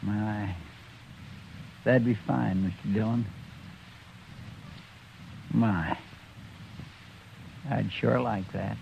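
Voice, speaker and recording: An actor playing a humble, loyal injured deputy with a rural American accent, hesitant and deferential, in 1950s radio western style. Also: male voice, fairly low pitch, steady tone, extremely slow, creaky, pauses often, heavily acted. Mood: earnest, grateful. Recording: muffled, noisy old radio recording.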